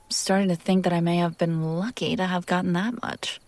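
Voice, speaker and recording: A woman playing a possessive, teasing demon in an intimate scripted audio roleplay, speaking theatrically with drawn-out phrases that drop toward whispers. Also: fairly low pitch, flat delivery, darkish tone, no room sound, lightly acted. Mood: puzzled, exasperated.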